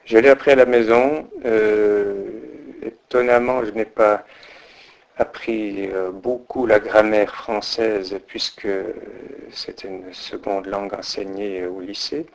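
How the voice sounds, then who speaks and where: A slightly low-voiced middle-aged man from Portugal speaks in a relaxed interview. He hesitates and restarts often as he recalls details, filling the gaps with pauses. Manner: hesitant, many fillers and restarts. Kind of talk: oral history interview.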